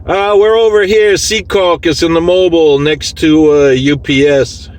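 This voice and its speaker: A man's voice: fairly high pitch, fairly even intonation, moderate pace. A man working as a CB radio operator, talking casually in clipped radio lingo from inside a vehicle. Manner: clipped radio lingo, repeats call sign. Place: inside a vehicle, mobile unit. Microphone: handheld phone recording in the vehicle. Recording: noisy phone recording of CB radio session. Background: clear radio and vehicle noise.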